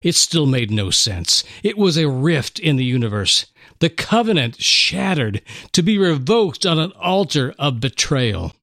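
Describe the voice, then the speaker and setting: Man, dramatic story narration, lively swooping pitch, dry close sound. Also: a little slow, dry recording. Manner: expressive, theatrical reading. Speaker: co-host, dramatic reader.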